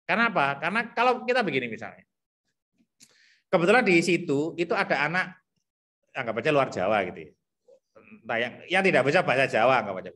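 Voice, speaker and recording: A man with a thick Javanese accent explaining teacher competency criteria to colleagues, repeating himself and filling pauses as he thinks aloud. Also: a little high, big pitch swings, pushed and bright. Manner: repetitive, thinks aloud with many fillers.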